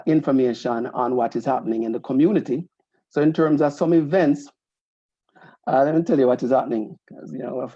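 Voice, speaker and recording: A man who is president of a community association, hosting a casual talk show and explaining things slowly with frequent restarts. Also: medium pitch, mellow, no echo, muffled recording. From Jamaica.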